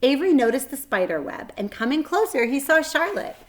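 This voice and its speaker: A woman's voice: high voice, very animated, a little fast. Woman reading a children's story expressively. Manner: dramatic emphasis, varying volume. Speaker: read-aloud storyteller. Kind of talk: expressive children's book narration.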